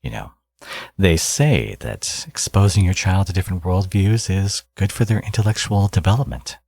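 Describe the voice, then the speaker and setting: A man playing a smug, flirtatious villain in an intimate ASMR audio roleplay, teasing and coaxing, with a gravelly, husky voice. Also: deep voice, animated, normal speed, darkish tone, no echo, exaggerated voice.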